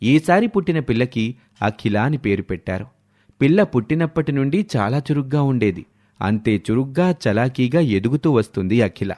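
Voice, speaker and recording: A man's voice: mid-range voice, animated, normal speed, warm tone. A man narrating a scripted moral story as the storyteller.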